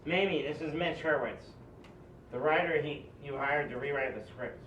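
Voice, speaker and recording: Affable, demanding male director boss , read by a man: higher voice, halting lines, roomy distant sound. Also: fairly bright tone, lightly acted. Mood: reassuring. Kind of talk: amateur script table read. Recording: echoey, muffled, distant mic, faint noise.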